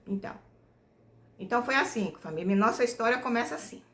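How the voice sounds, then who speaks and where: A woman from Bahia, telling her family story to her channel in a loose, homespun way. She keeps checking in with "né?" as she goes. Her voice sits a little high, and the room around her echoes. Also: expressive, fast talker, a bit bright. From Bahia, Brazil. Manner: homespun, rambling, tags nearly every phrase with "né?". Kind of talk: casual storytelling to viewers.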